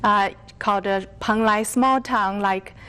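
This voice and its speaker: Chinese-accented woman, halting speech. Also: medium pitch, steady tone, fairly bright tone, clean tone. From China. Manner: halting, searching for words. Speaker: interview guest. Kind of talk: unscripted interview answers.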